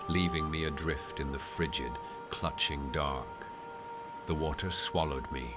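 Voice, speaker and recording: Guy doing a super deep slow haunted man, solemn gothic horror monologue, music clearly under it. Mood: haunted, grim. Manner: solemn, ornate, deliberate delivery. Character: haunted, dread-struck man tethered to an unseen sea entity. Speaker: narrator in an audio roleplay.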